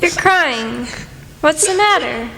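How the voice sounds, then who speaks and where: Young woman as gentle, high-voiced worried girl. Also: animated, slow pace, gravelly, exaggerated voice. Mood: concerned. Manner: soft-hearted, solicitous. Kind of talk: radio play acting. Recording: echoey hall with noise.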